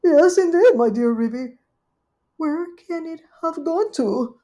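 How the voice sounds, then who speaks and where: A woman's voice: high-pitched, expressive, slow, dark tone, pitched above the performer's own voice. Woman playing an eager fussy little dog guest. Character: anxious greedy little female dog guest.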